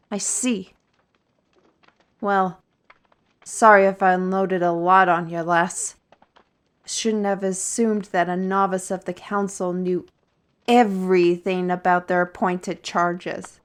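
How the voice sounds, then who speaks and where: A woman playing a weary, irritable, paranoid artist with magical abilities in a fantasy audio drama, grumbling and halting. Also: lowish voice, steady tone, clean tone. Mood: apologetic, yearning.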